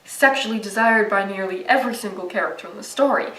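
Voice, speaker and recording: A woman's voice: mid-range voice, fast talker, echoey room, distant mic, music in the background, poor audio. A young woman narrating a video essay in a casual, sarcastic and profane way.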